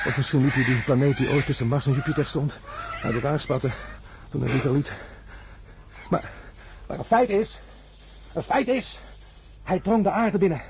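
An actor playing a delirious, obsessed astronaut in a radio drama, raving and repeating himself. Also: male voice, fairly high pitch, close to an everyday voice. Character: delirious, frantic male astronaut stranded in jungle, obsessed. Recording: radio drama with loud insect noise. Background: jungle crickets and insects.

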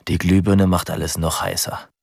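A man's voice: low voice, flat delivery, moderate pace, vocal fry, a little mellow, husky, no echo, clean studio sound, heavily acted. An actor playing a bitter, weary male convict speaking his letter aloud in a radio drama monologue. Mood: weary, miserable.

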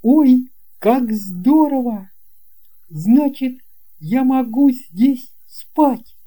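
Guy doing a small boy voice, way up high, eager n amazed, fairy tale audio. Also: expressive, very slow, mellow, heavily acted. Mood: delighted. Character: young boy, wide-eyed, amazed and eager.

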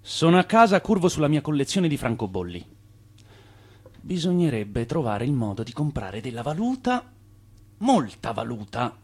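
An actor playing an effusive, charming Italian immigrant schemer and ardent suitor in a theatrical radio drama. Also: male voice, medium pitch, very animated, normal speed, husky. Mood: pensive.

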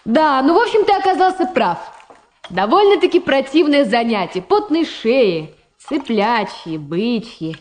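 An actress playing a prosecutor's young adult daughter in a Soviet radio drama, wry and teasing, gently reproachful. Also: female voice, high-pitched, very animated, a little slow. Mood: playful, teasing.

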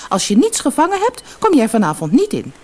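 A woman's voice: high-pitched, huge pitch swings, fairly quick, darkish tone, slightly rough, pitched above the performer's own voice, full character voice. A woman voicing a grumpy, demanding old fisherman's wife in a storybook, scolding and nagging. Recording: noisy old cassette audiobook.

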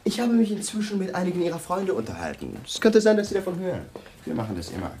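An actor playing a police lieutenant who questions in a polite, insinuating, deliberate way, in a German radio crime drama. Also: male voice, high voice, sing-song, a little mellow, a little hiss or hum. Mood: falsely courteous.

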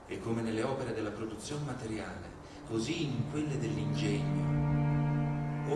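Guy doing a dramatic stage reading, super echoey, loud music behind him. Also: medium pitch. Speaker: stage performer. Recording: very echoey distant hall recording with music. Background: loud musical instrument.

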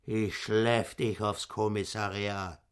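Very slow, menacing brute of a caretaker. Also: male voice, lowish voice, level tone, clean tone. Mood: menacing rage. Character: brutal, bullying caretaker, a retired policeman. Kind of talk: German radio play acting.

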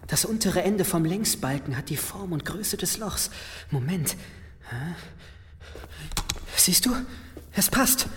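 A man's voice: sits high, animated, takes it slowly, a bit bright, heavily acted. An actor playing an obsessive, learned man in an audio drama, muttering and interrupting himself as he works. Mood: absorbed, excited. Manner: muttering, absorbed, self-interrupting. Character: obsessive learned man, excited by hidden mechanisms. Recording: long cavernous reverb, faint noise.

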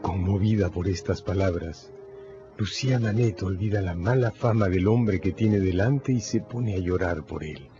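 A man's voice: low voice, normal speed. A man narrating a radio drama in a scripted, dramatic storytelling style. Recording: dull lo-fi audio under music.

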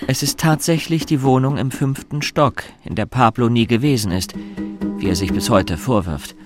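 A male actor is the observant storyteller of a radio play, narrating with composure. His voice is medium in pitch, with music clearly audible behind it. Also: moderate pace, natural voice. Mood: composed. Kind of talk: scripted radio drama narration.

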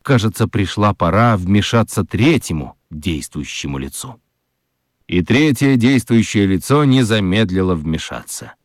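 A male narrator tells a children's story for radio. His low voice moves in lively swings, with dramatic pauses, in a dry, close recording. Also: dry recording.

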